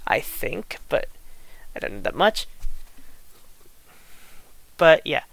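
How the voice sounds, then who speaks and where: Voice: medium pitch, bright, forceful tone, clean tone, no echo. An online creator of unclear sex delivering an unscripted, exasperated rant, often restarting and repeating phrases. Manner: indignant, exasperated. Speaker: online video creator venting grievances.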